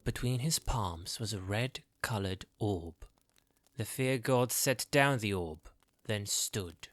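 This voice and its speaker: A man's voice: lowish voice, slow, a bit bright, dry recording. A man narrating a scripted fantasy story as its narrator.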